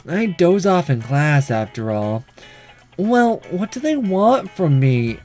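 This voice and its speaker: A man's voice: sits high, a little mellow. A man voicing a sleepy, detached schoolgirl who narrates a visual novel in a weary, blunt, matter-of-fact way. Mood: weary, resigned.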